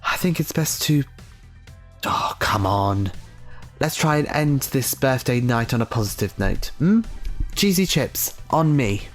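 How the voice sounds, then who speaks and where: A reader voicing a calm, kindly but authoritative time-travelling leader in an audiobook. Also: mid-range voice, unhurried, faint music behind, pitched above the performer's own voice, natural voice. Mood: consoling. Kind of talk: dramatic audiobook character voice.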